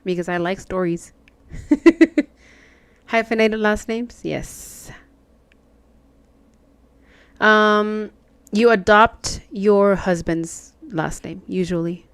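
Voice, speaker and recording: A woman's voice: mid-range voice, drawn-out words, long pauses, smooth, no room sound. Chatty woman stitching live, dry close sound. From Mexican American. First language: Spanish. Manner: chatty, laughs often, answers chat. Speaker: cross-stitch livestream host. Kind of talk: casual chat with live chat.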